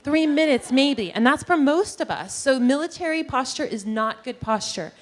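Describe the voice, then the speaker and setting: A woman giving a lively presentation on posture and breathing to a live audience in a meeting room, guiding them through movements. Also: sits high, normal speed. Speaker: posture and breathing teacher giving a workplace talk.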